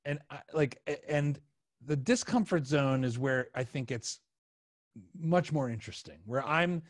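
A middle-aged man hosting a casual podcast, explaining ideas in a halting way as he thinks aloud. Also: on the high side, expressive, no room sound, studio quality. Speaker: podcast host, video editor. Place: indoor room, video call. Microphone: podcast mic on an arm, headphones.

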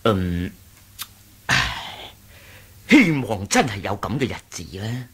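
An actor playing a loyal, deferential senior lieutenant of a martial sect in a Hong Kong Cantonese radio drama, formal and husky. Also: male voice, on the low side, sing-song, slow pace, a bit bright, full character voice. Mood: grave, earnest. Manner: formal, respectful. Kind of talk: 1980s radio drama acting.

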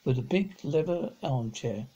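A middle-aged man reads a children's story aloud, stumbling over words and rereading them, in a husky, gravelly voice. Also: a little high, lively intonation, a little slow, mellow, poor audio. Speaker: amateur audiobook reader. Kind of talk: reading a children's novel aloud. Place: living room at home, on a sofa.

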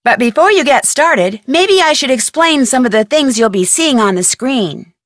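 A young woman voicing a chipper, organized girl detective in a video game, giving friendly, clearly delivered instructions. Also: high-pitched, expressive, moderate pace, dry recording, studio quality. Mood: helpful, instructional. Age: young adult. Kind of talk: scripted video game tutorial narration.

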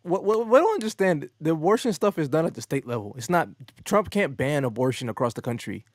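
A man's voice: a little high, quick pace, noisy background. A young man hosting his own channel, reacting to street interview clips with mocking, exasperated commentary from a bedroom studio. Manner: mocking, exasperated, animated asides. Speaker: host. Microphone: studio mic, headphones on.

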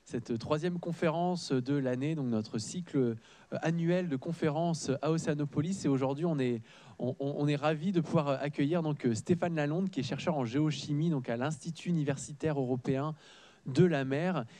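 A man opens the evening as host of a lecture series. His tone is mellow and relaxed. He hesitates a lot and leans on fillers as he welcomes the audience in a large auditorium. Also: a little high, normal speed. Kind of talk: formal introduction of a speaker.

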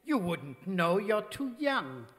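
Loyal formal manservant: high, bright voice, deferential radio-play delivery, reverberant hall. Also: male voice, very animated, slow, full character voice. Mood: solemn. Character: devoted, solemn formal butler to a faded star. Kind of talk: old-time radio drama acting on stage. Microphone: vintage-style stand microphone. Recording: reverberant theater hall stage recording.

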